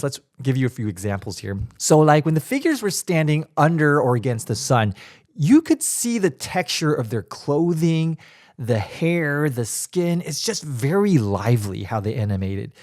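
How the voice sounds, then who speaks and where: A man hosting a casual solo podcast, talking in a chatty, animated way, his voice raspy from a cold. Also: mid-range voice, no room sound, studio quality. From Taiwan. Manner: chatty, animated, emphatic asides. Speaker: podcast host.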